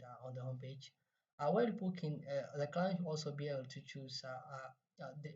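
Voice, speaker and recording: A man in his twenties speaks with a Somali accent, presenting a project as a student would. His delivery is halting and he often repeats words as he goes. The sound is muffled and lo-fi, picked up a little way from the microphone. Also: mid-range voice, darkish tone, distant mic. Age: 20s. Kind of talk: semi-scripted project presentation.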